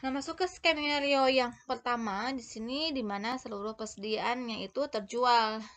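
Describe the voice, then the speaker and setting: A woman giving an explanatory lesson as a lecturer, step by step and haltingly, often correcting herself. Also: on the high side, fairly bright tone. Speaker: accounting lecturer.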